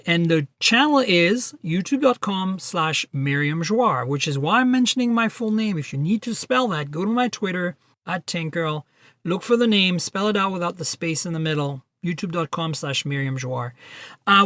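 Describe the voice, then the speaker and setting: A man's voice: high voice, expressive, moderate pace, fairly bright tone, no echo. A man hosting a tech podcast, talking in a chatty, upbeat way and running through plugs. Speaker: podcast host. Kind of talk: casual tech podcast chat.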